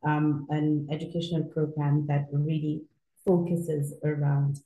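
A woman from Senegal, an interview guest, explaining her university in a measured way with frequent fillers. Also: deep voice, level tone, mellow, slight background noise. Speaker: university outreach director, guest. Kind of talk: interview answers. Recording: echoey room.

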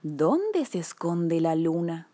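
Storybook little girl, adult female performer: brave, earnest, plaintive, unhurried, very expressive pitch. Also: no echo, full character voice. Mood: sad, wondering. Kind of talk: children's storybook character voice.

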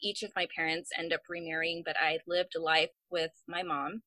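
A woman's voice: fairly low pitch, level tone, moderate pace, pushed and bright, dry recording. A woman in her thirties from the United States, telling her story reflectively as a podcast co-host. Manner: reflective, many fillers. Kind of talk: casual podcast chat.

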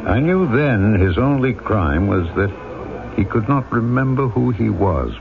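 An actor playing a tormented reformed convict turned mayor, grave and deliberate, in an old-time radio drama. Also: male voice, on the low side, expressive, gravelly, over music. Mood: grave, reflective. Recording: tinny old radio recording.

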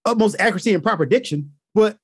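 An excitable man with a high voice who talks in casual slang and laughs often. The recording is dry and close. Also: quick pace, slightly rough, no echo. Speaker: co-host. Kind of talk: casual chat.

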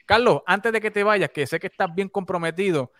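A man's voice: high voice, a little fast, fairly bright tone, no echo. A Puerto Rican man hosting a sports talk show, enthusiastic and often restarting his sentences. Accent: Puerto Rican Spanish.

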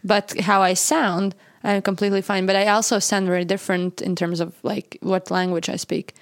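A young woman from Latvia, native in Latvian, chats her way through a podcast with quick laughs and self-mocking asides. Her voice sits at a middle pitch. The sound is dry, with no room around it. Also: flat delivery, no echo. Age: young adult. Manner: chatty, laughs often, self-deprecating. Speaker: podcast co-host. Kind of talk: casual podcast chat.

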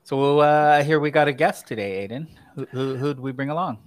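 A man's voice: medium pitch, brisk, dry recording. A man hosts a casual weekly chat over a video call, his voice dry and close. He sounds upbeat and welcoming as he brings people in and asks questions. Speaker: host.

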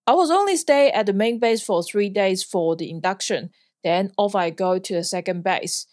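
A woman's voice: mid-range voice, moderate pace, darkish tone, no room sound, clean studio sound. A woman in her thirties from Hong Kong, a podcast host telling a personal story in an earnest, plain way. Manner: earnest, plainly worded, occasional light laugh. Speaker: podcast host, flying instructor.

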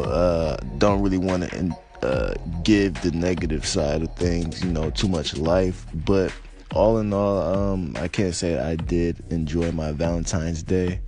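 An American man with a slightly raspy voice talking casually and unscripted, in a hesitant, laid-back, rambling way. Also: sits low, a little mellow. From United States. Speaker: podcaster or vlogger giving personal commentary. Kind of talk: casual unscripted monologue on news and life.

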